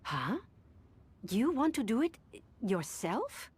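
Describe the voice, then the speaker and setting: An actress playing an eager, deferential young secretary in a video game, polite and earnest. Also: female voice, a little high, very animated, takes it slowly, darkish tone, slight background noise. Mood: surprised.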